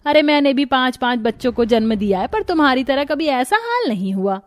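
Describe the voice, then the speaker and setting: A woman's voice: sits high, sing-song, a little fast, dry recording. A woman voicing a stingy, cunning middle-aged mother-in-law in a cartoon story, scolding and accusing in a nagging manner. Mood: angry scolding. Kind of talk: Hindi cartoon moral-story dialogue.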